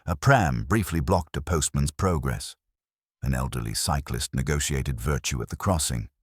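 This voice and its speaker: A man's voice: extremely low pitch, moderate pace, slightly rough, dry recording, studio quality. A man reading scripted mystery fiction as an audiobook narrator.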